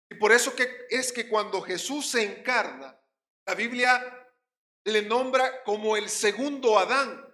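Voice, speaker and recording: A male pastor with a Puerto Rican accent delivers an emphatic sermon in a high, ringing voice. He swings from loud stressed words to quiet drops. The sound is roomy, with the echo of a church hall.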